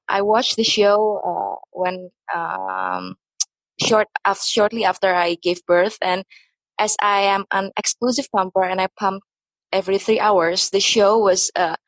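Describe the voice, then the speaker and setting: A woman from Indonesia, a podcast guest speaking English haltingly with many pauses. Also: mid-range voice, dry recording, low-quality recording. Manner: hesitant, many "uh" and restarts. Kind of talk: casual podcast chat.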